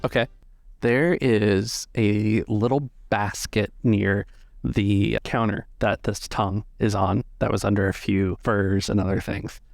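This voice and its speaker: A man acting as game master, improvising descriptions for a tabletop game in a deliberate, halting way. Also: on the low side, fairly even intonation, creaky, dry recording.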